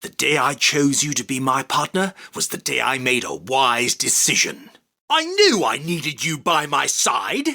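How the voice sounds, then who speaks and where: A man's voice: medium pitch, big pitch swings, measured pace, sharp tone, full character voice. A male voice actor playing an arrogant, grandiose self-proclaimed king of card duelists, with a pompous, commanding, theatrical delivery for a video game. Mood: haughty, proud. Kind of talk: video game character battle lines.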